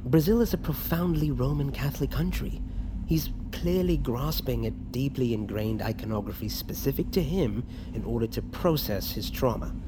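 A man's voice: mid-range voice, lightly acted. A man acting as a dry, analytical member of an expedition crew in an audio drama, wry and articulate. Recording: clear background ambience noise.

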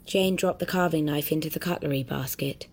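A woman narrates a literary audio story from a script. Her voice sits low and has a husky grain. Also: a little fast.

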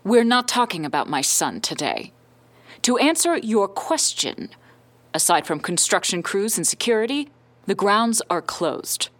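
A woman playing a powerful middle-aged scientist and founder in an audio drama, giving a measured, firm, guarded interview. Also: mid-range voice, very animated. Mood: guarded, curt.

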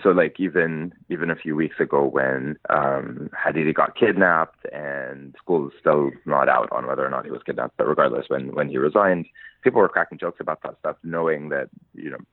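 A man from Lebanon speaks in a very deep voice, weighing his words and laughing lightly through his stories. His voice comes over a dry, narrow phone-like line. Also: moderate pace, no room sound. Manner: thoughtful, laughs while telling stories. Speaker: musician guest. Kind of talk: conversational interview.

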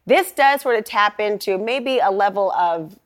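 A woman's voice: medium pitch, expressive, unhurried. American woman hosting a TV panel. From Minnesota, United States. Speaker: TV news panel host. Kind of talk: conversational panel moderating.

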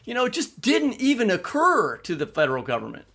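A man's voice: high voice, huge pitch swings, fairly quick, vocal fry, pushed and bright. An American man co-hosting a podcast, discussing things casually in an informal, opinionated way. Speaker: podcast co-host.

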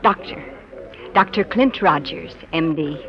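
A woman's voice: sits low, unhurried, creaky. An American actress playing a poised, wry young office woman in 1940s radio drama, cool and guarded in delivery. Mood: weary, wistful. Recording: dull, lo-fi old radio recording.

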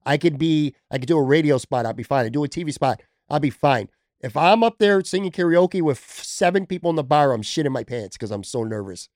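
A man's voice: fairly high pitch, lively intonation, brisk, a little mellow, no room sound, studio quality. A man hosting a casual sports podcast, chatting and joking with a guest. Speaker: podcast host.

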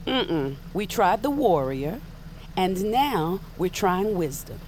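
A woman's voice: fairly low pitch, sing-song, slow pace, darkish tone, a little hiss or hum. An actress playing a blunt, protective witch mentor in an audio drama, with a dry, earnest manner. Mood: firm, concerned.

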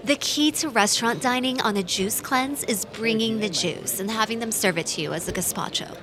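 A woman's voice: fairly high pitch, moderate pace, natural voice. An actress playing a blunt, breezy, trendy young woman in an audio drama, airy and condescending. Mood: snide, breezy. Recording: busy background crowd chatter.

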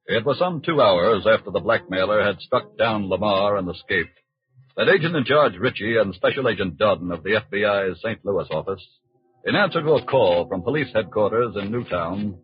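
A man giving solemn, formal, measured narration in the style of a 1940s radio drama. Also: lowish voice, animated. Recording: muffled old radio broadcast recording.

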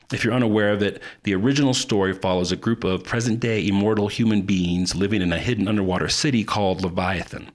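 A man hosting a podcast, reading announcements in an informal, conversational way. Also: sits low. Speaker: podcast host. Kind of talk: casual scripted announcements.

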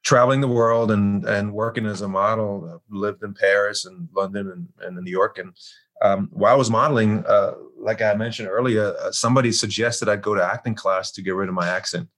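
Deep-voiced German-accented man, halting. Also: fairly even intonation, fairly bright tone. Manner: halting, many restarts. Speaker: actor guest. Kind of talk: casual interview.

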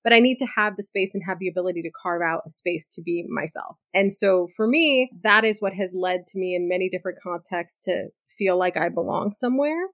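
A woman's voice: on the low side, a little fast, few pauses, dry recording. New lady in her 30s, chatty and candid, lots of likes and asides, dry close mic. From New York City. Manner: candid, lively storytelling with frequent likes and asides. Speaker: guest, corporate lawyer. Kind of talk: conversational interview answers.

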